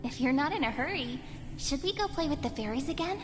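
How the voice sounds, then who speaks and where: A gentle, playful village girl talks in a high voice with affectionate teasing. It is fantasy game dialogue, reverberant and backed by clear music. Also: lively intonation, fairly quick. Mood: playful, reassuring. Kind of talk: video game voice acting.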